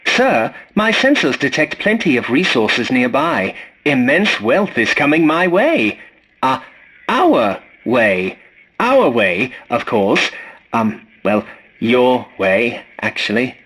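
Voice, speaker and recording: An actor playing a fussy, polite, snarky scavenger robot in a video game, with a prim, formal and dryly sarcastic delivery. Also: male voice, mid-range voice, very animated, slow, a bit bright, slightly rough, heavily acted. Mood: chipper, snarky. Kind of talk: scripted video game character lines.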